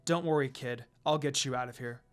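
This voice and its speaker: A man voicing a cool, protective warrior woman who speaks in a steady, reassuring, matter-of-fact way. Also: mid-range voice, brisk, clean tone, pitched below the performer's own voice, natural voice.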